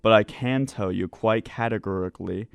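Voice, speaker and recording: A man playing a learned, wry psychiatrist in an amateur radio drama, speaking formally and with measured candour. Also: on the low side, vocal fry, clear, resonant tone, no room sound. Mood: wry, firm.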